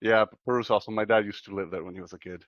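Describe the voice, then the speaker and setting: A man from Chile in his thirties, talking in a casual interview as the guest, soft-spoken and hesitant with many fillers. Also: lowish voice, fairly even intonation, racing, no room sound, muffled recording. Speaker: guest computer scientist.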